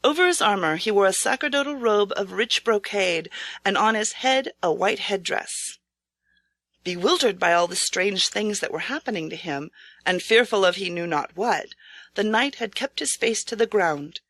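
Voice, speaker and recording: Female audiobook narrator, medium pitch, measured formal storytelling, dramatic, close dry recording. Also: animated, a bit bright, no echo.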